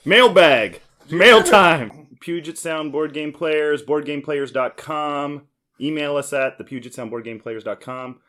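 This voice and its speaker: An American man in his forties chatting casually as a podcast host, jokey and given to excitable storytelling. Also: a little high, unhurried. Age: 40s. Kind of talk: casual board game banter.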